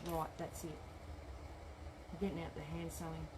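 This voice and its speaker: A woman's voice: deep voice, little pitch movement, normal speed, background noise. Chatty woman sewing on air. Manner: chatty, mutters to herself while working; reads out and answers viewer comments. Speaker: craft shop owner demonstrating sewing. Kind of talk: live-streamed craft demo chat. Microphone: tablet streaming live.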